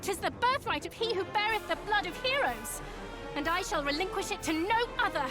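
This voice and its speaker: An actress playing a proud young princess in a fantasy game, speaking in formal, archaic, resolute phrases. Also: female voice, very high voice, lively intonation, a little fast, loud music behind the voice. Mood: defiant resolve. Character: proud, defiant young princess of heroic blood. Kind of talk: theatrical fantasy game acting.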